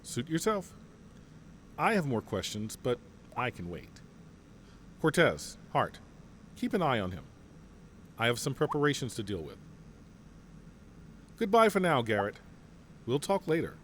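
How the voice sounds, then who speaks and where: A man playing a composed general who leads a mercenary company, speaking with calm, assured authority. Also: medium pitch, unhurried, pauses often, a little hiss or hum. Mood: commanding. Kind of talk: fan audio drama acting.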